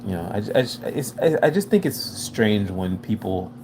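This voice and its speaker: A man's voice: lowish voice, expressive, moderate pace, background noise. A man whose first language is French, hosting a casual livestream and talking loosely to his chat, full of "you know" fillers. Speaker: livestream host.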